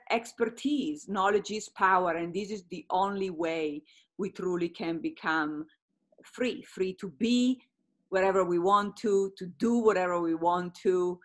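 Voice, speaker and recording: A woman's voice: mid-range voice, a bit bright. Enthusiastic Italian-accented woman on a call. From Italy. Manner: enthusiastic, laughs often, repeats words. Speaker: guest psychologist and coach. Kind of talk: video call interview. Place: home room with brick fireplace. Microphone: video call.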